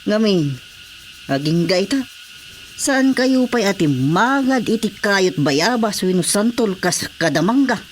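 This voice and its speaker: A woman's voice: mid-range voice, expressive, a little slow, slight background noise, close to an everyday voice. A woman playing a teasing, chatty countrywoman in an Ilocano radio comedy drama, speaking with an Ilocano accent.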